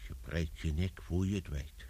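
A man's voice: low voice, moderate pace. A man acting in a radio play as a stolid, resigned labourer, dry, sceptical and terse. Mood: dry, deadpan. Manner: terse, deadpan. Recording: slightly noisy old radio play recording.

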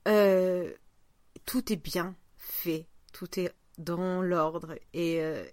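A woman's voice: fairly low pitch, fairly even intonation, very slow, a little mellow, no echo, clean studio sound. A woman hosting a podcast in casual chat, talking in an informal, rambling, self-deprecating way. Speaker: podcast host.

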